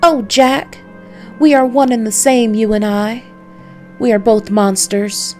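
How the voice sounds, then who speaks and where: A woman's voice: fairly high pitch, steady tone, slow pace, mellow, pitched above the performer's own voice. A seductive visual novel succubus, acted out by a woman, speaks in a raised, theatrical voice. She is sly, taunting and coolly menacing, completely unrepentant. Faint music plays behind her. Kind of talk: visual novel character read aloud.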